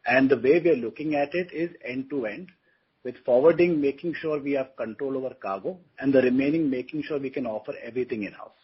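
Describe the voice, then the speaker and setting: A man speaking as a company executive, giving a business explanation on a conference call in a very rough, husky voice. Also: medium pitch, fast. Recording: dull, lo-fi conference call audio.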